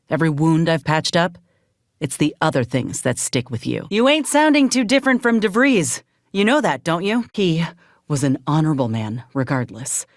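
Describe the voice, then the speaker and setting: An actress playing a gruff, loyal sci-fi soldier and medic in a video game, speaking in a folksy, plainspoken, measured way. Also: female voice, low voice, dry recording, clean studio sound, natural voice. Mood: reflective, then grieving, reproachful. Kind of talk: video game companion dialogue.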